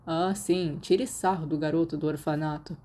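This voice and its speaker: A woman's voice: deep voice, fairly even intonation, normal speed, mellow, natural voice. A woman voicing a naive, blunt, defensive teenage boy in audiobook dialogue. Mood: grumbling. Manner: blunt, grumbling, hesitant.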